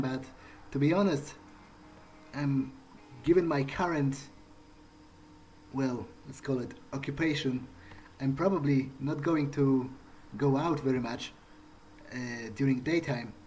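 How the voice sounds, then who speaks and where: A man plays a bewildered young male vampire from a video game, musing to himself. His voice is a little high and very slow, with halting, rambling phrases and a dry, sarcastic streak. There is faint noise behind him. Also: a little mellow, lightly acted, rough recording. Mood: dry, puzzled. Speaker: gaming role-player. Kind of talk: in-character improvised game monologue, thinking aloud.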